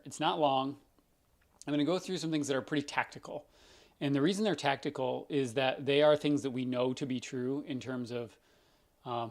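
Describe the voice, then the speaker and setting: A doctor with a scratchy voice hosting a live question-and-answer stream, explaining things in a loose conversational way with lots of everyday examples. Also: male voice, mid-range voice.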